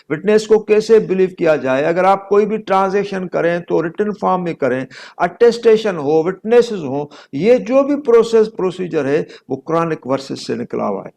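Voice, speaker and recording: A man's voice: a little high, vocal fry, a little mellow. A middle-aged man with a Pakistani accent giving an explanatory law lecture, repeating points for emphasis and dropping in English legal terms.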